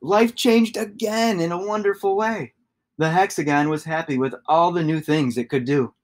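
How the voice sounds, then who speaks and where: A man, giving an expressive read-aloud of a children's story. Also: fairly high pitch, normal speed. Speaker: storybook reader.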